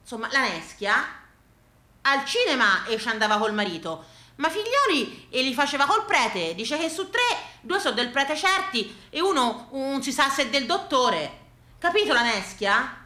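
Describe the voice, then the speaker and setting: Tuscan actress for folk monologue, colloquial and dramatic, sharp highish voice. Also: female voice, normal speed. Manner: colloquial, animated delivery with dramatic repetitions. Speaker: stage actress and storyteller. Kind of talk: theatrical monologue of rural folk tales. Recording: roomy, echoing space.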